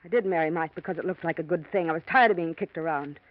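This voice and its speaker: An actress playing a worried young wife who pleads emotionally in a 1940s radio drama. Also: female voice, low voice, fast talker, close to an everyday voice. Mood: pained. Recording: dull old radio recording.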